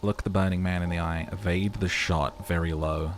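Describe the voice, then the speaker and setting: A man's voice: deep voice, fairly even intonation, normal speed. A man doing a let's play, narrating the game dramatically and dropping into casual, sweary commentary. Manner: dramatic storytelling mixed with casual, sweary asides. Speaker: let's player. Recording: faint background game noise.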